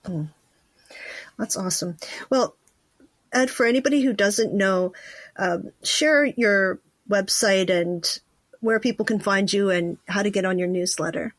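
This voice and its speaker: A woman's voice: mid-range voice, a little slow, dry recording. An American woman hosting a casual interview podcast in a playful, chatty manner.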